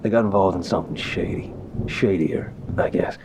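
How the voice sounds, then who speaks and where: A man playing a soft-spoken, apologetic mafia boss boyfriend in an intimate ASMR roleplay, hesitant and gentle, with a low, husky, breathy voice. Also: background noise, natural voice. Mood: hesitant, regretful. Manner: hesitant, gentle, sighing pauses. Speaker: audio roleplay performer. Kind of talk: ASMR audio roleplay, one-sided intimate phone call.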